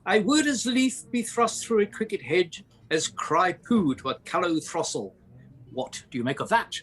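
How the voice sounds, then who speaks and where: An English man acting a blustering, irascible Victorian gentleman playwright in a radio play. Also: high voice, expressive, moderate pace, slightly rough, heavily acted. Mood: playful, sardonic. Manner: blustering, theatrical.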